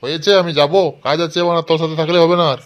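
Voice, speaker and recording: A man's voice: fairly high pitch, level tone, rapid-fire, close to an everyday voice. A man voicing a short-tempered, foul-mouthed village uncle in a comedy cartoon, brusque and scolding, with a rural West Bengal Bengali accent. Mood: irritated, scolding. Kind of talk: amateur comedy cartoon dialogue.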